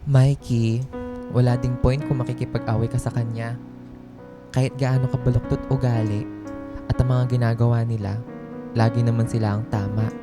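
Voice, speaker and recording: A man's voice: mid-range voice, little pitch movement, moderate pace, pauses often, loud music behind the voice, lightly acted. An actor playing a worn-down but resolute male office worker in a radio drama, hesitant and heartfelt.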